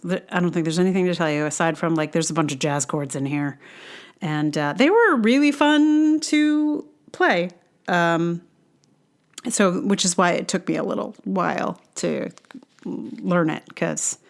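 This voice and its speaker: A woman with a slightly low voice talks casually as the host of a solo podcast. She thinks aloud in loose, informal phrases. Her delivery is halting and unscripted.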